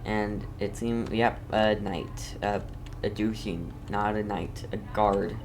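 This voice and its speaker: A man's voice: on the low side, steady tone, slow, a little hiss or hum. A man giving casual playthrough commentary as a gamer, reacting and musing aloud.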